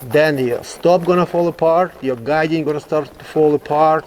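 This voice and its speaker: A male horse trainer explains his method in halting, English. His voice sits slightly high, and he stresses points by repeating them. There is some background noise around him as he talks. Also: darkish tone. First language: not English. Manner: emphatic, repetitive phrasing, halting non-native English. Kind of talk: instructional demonstration, explaining.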